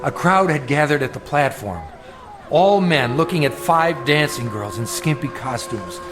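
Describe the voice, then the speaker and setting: A man's voice: on the high side, expressive, a little slow, over music. A man playing a troubled, earnest middle-aged dreamer in a radio drama, confiding in an intense, hesitant, brooding way. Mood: haunted, recounting. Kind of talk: radio drama acting, confessional narration.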